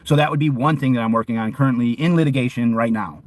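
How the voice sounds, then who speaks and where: A man arguing bluntly in a heated conversation, an outspoken loudmouth who cuts in on the other person. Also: mid-range voice, fast, no room sound. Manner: argumentative, blunt, interrupting; self-described loudmouth. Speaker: activist and protester. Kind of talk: heated phone argument.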